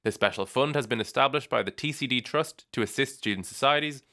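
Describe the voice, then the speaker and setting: A young man reading a weekly student union bulletin in a brisk, upbeat way, lifting his voice at each new item. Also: mid-range voice, bright, forceful tone.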